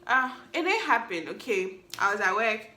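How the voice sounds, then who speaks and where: A woman's voice: a little high, sing-song, normal speed, pushed and bright. A woman, hosting a solo podcast recap in a playful, chatty way that teases the listener. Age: 20s. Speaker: podcast host. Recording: echoey room.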